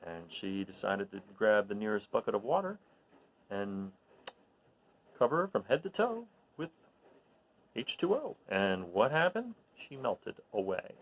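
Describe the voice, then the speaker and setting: A man reading a children's story aloud expressively, in an animated way that addresses his listeners. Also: fairly low pitch, a little slow, highs cut off. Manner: animated, recapping with questions to listeners.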